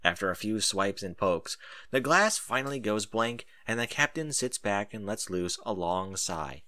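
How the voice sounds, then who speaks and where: A man narrating a first-person story in an animated audiobook style. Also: on the low side, moderate pace, no room sound.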